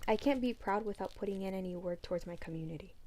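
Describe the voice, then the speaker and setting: A young woman co-hosting a casual podcast from a bedroom, speaking in an animated, teasing way. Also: mid-range voice, fast. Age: young adult. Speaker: podcast co-host. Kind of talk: casual chat. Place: bedroom, seated on a bed.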